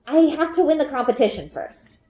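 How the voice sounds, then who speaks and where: A woman's voice: high-pitched, big pitch swings, fairly quick, mellow, voice pushed up. Woman voicing an eager, stubborn young storybook girl. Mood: confident. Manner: earnest, insistent. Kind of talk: children's storybook read-aloud. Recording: roomy indoor echo.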